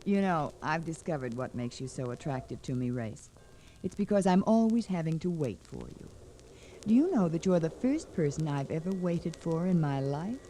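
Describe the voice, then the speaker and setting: Swooping, spoiled society debutante. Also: female voice, low voice, animated, moderate pace, warm tone. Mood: flirtatious. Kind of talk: 1940s radio drama acting. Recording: noisy vintage 1940s radio recording.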